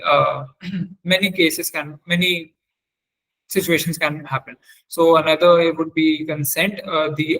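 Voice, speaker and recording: A man giving an informal technical lecture as a webinar trainer, speaking haltingly and often repeating his phrases. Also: on the high side, a bit bright, rough recording. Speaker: webinar trainer on forensic audio.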